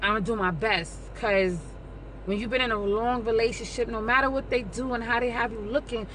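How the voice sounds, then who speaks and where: A woman's voice: a little high, fairly even intonation, fairly quick, a bit bright, a little hiss or hum, poor audio. A middle-aged woman talks straight into a phone held close. She is loose and playful, drifting into sing-song asides as she chats at home and from the seat of her car. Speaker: vlogger. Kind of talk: casual chat to camera. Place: living room at home, then parked car. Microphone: phone camera held close.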